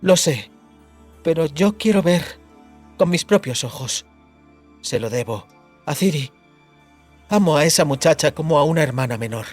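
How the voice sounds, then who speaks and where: A man's voice: on the high side, expressive, normal speed, long pauses, soft background music. Man playing an earnest, determined sorceress. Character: determined, devoted young sorceress, frank and outspoken.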